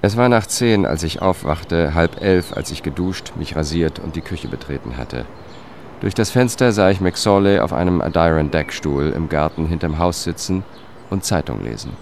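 An actor playing a sober, observant investigator who narrates a radio drama in a measured, matter-of-fact way. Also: male voice, sits low, expressive, darkish tone, a little hiss or hum. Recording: music bed under the voice.

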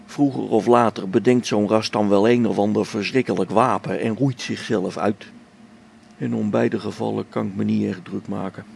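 An actor playing a middle-aged male space explorer whose faith is shaken, speaking a brooding, halting radio play log that sinks to hushed whispers. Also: lowish voice, slight background noise. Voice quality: gravelly. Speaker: log-keeping space expedition member.

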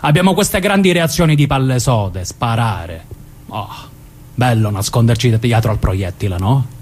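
An actor playing a bitter, defiant, reflective dead mob killer, in a theatrical dramatic reading. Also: male voice, mid-range voice, animated, unhurried, a little mellow.

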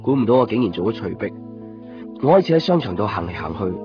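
A man's voice: lowish voice, big pitch swings, fast. An actor playing a melancholy, lovesick man with a Hong Kong Cantonese accent, narrating wistfully in a radio drama. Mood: weary, wry. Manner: wistful, introspective. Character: lovesick, melancholy man pining for a missing woman. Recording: muffled 1990s radio drama, distant, music behind. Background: music.

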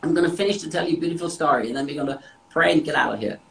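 A man's voice: fairly high pitch, racing, creaky. Emphatic man preaching. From Austria. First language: German. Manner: emphatic, repeats words for stress. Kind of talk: devotional sermon with interpreter.

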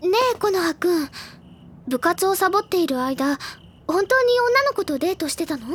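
An actress playing a gentle, bookish teenage schoolgirl in a Japanese audio drama, with soft feminine phrasing. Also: very high voice, expressive, moderate pace. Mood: flustered, bright. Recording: music behind the voice. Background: clear background music.

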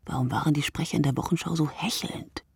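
A woman performing a reflective, sleepless narrator in a literary radio monologue, speaking in measured short phrases. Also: sits low, steady tone, gravelly, darkish tone, husky, dry recording, studio quality, full character voice. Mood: dry, ironic.

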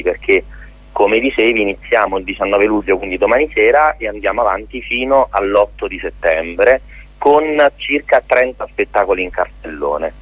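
A man speaking as a guest in an informal radio interview, explaining as the organiser of a theatre festival, with a husky voice. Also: lowish voice, dry recording, phone-quality sound.

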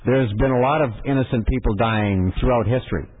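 A male radio host with a husky, mid-pitched voice answers a caller. He speaks firmly and hammers points home by repeating them. The sound is narrow and lo-fi, with a long reverb behind it. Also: brisk. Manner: firm, repeats phrases for emphasis. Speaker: radio talk show host. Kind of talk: call-in radio talk. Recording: muffled phone-band audio with long reverb.

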